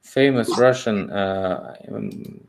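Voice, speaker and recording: A man's voice: lowish voice, takes it slowly, vocal fry, a crowd in the background. A man hosting a casual livestream chat, speaking hesitantly and stumbling over his words. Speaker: livestream host.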